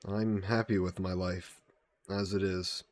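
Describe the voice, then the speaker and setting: A courteous old-world vampire nobleman, acted by a man in an intimate audio drama. His voice sits on the low side, and he speaks in polite, formal phrases, gentle and modest with a touch of wistfulness. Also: little pitch movement, unhurried. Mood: modest, lightly amused. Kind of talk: scripted one-sided audio roleplay, intimate and conversational.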